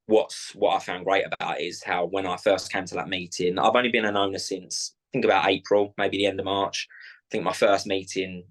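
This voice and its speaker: Low, flat-voiced South London man. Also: vocal fry, low-quality recording. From South London, England. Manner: chatty, rambling with many fillers and restarts. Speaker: club owner, guest. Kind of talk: casual interview chat.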